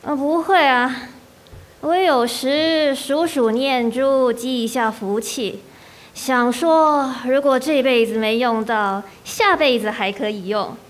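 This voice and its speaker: A woman's voice: high-pitched, takes it slowly. A woman voicing an old blind landlady in a student radio drama, frail and chatty but scheming underneath. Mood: calm, frail. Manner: shifts from frail chatter to sharp indignation. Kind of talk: amateur radio drama acting.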